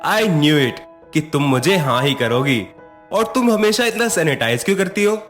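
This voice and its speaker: A man's voice: on the high side, animated, moderate pace, fairly bright tone, soft background music. A man voicing a dashing young college student in an Indian cartoon story, mixing English phrases into his dialogue. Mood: romantic.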